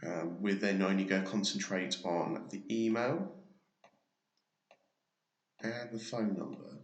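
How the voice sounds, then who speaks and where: Low-voiced man in an echoey room. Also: slow. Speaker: software tutorial presenter. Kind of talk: casual step-by-step screen demo narration. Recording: roomy, echoey sound.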